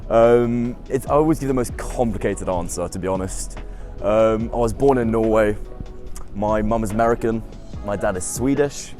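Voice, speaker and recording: A man's voice: fairly low pitch, normal speed, a little mellow, soft background music. A young man in his twenties, a students' union officer who grew up in the UK, answering interview questions in an upbeat, chatty way with lots of "I mean" and "um". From United Kingdom. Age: 20s.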